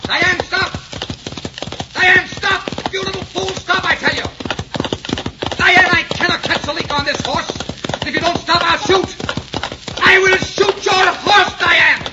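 An actor playing a proud, commanding desert sheik in a 1940s radio drama, with an imperious, teasing way of talking. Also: male voice, very high voice, fairly even intonation, unhurried, pauses often, highs cut off. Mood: shouting, furious. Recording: noisy, crackling 1940s radio recording.

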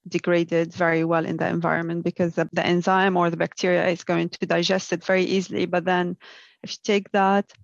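Woman, flat low voice, hesitant explaining, lo-fi dry sound. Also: fairly quick, vocal fry, no room sound. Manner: explains with many ums and uhs. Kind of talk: casual science chat. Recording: dull lo-fi sound.

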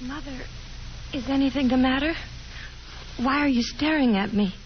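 A woman playing a timid young woman in an old radio play, fragile and apologetic. Her voice is fairly high and she speaks hesitantly. The recording is dull, with music in it. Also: moderate pace, lightly acted. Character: shy, timid, fragile young adult daughter. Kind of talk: 1950s radio drama acting.

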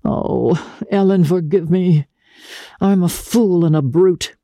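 Female reader as anxious young society gentleman in literary drama: formal, urgent, husky, recorded dry. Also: fairly low pitch, slow pace, no room sound, voice pushed up. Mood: remorseful.

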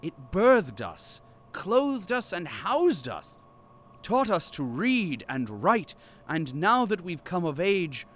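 Pompous captive archivist, animated delivery. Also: male voice, a little high, a little hiss or hum, heavily acted. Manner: pompous, formal, grandiose diction. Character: pompous captive male archivist, devout company loyalist. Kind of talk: audio drama acting.

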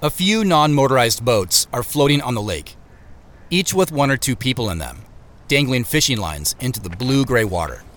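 A man's voice: medium pitch, very animated, normal speed, fairly bright tone. An actor playing a wry, beleaguered office man on the run, narrating his own audio drama in the first person with understated, muttered asides. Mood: wry, weary narration. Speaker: actor narrating as main character.

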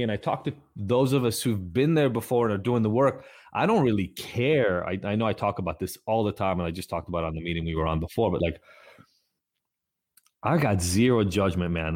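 Man, forties, low voice, candid, rambling, casual podcast talk. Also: a little mellow. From Israel. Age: 40s. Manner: candid, rambling, swears freely. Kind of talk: casual conversation.

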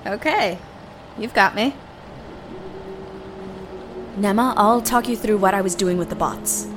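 A practical female technician in an audio drama, played by a woman, giving plain directions. The voice is mid-pitched, with clear ambient noise behind it. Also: a little slow, lightly acted. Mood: calm.

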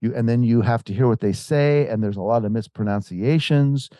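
A man's voice: medium pitch, a little fast, mellow, no room sound, studio quality. A man, an author as interview guest, tells a long story in casual talk. He rambles with many restarts and acts out quoted voices.

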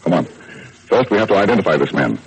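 An actor playing a steady, courteous police detective with authority in an old-time radio drama. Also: male voice, low voice, brisk, gravelly, slightly rough, full character voice, poor audio. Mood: businesslike, decisive. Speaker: police inspector.

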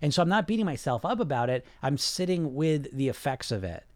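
A man's voice: a little high, big pitch swings, fairly quick, dry recording. A man hosting a podcast as a weight-loss coach, talking in a casual, emphatic way with lots of "you know" fillers. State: sniffling. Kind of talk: casual monologue.

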